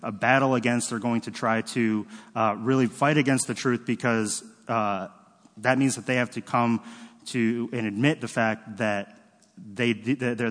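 A man preaching a sermon in a relaxed, conversational way, often stopping to correct himself and using fillers. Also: on the low side, in a large hall. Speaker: pastor. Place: church sanctuary.

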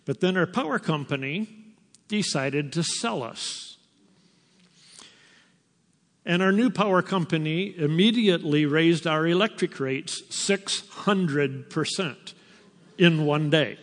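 An older man, a missionary teacher, giving a slow, unhurried personal testimony to a church. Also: a little high. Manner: slow, unhurried storytelling with pauses. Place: church sanctuary. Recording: long reverb of a large hall.

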